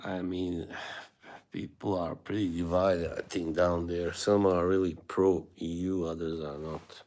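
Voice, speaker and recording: A man's voice: deep voice, flat delivery, unhurried, a little mellow. A man from Bosnia and Herzegovina, hosting a casual live stream and chatting with viewers in a rambling, hesitant way with frequent chuckles. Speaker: livestream host.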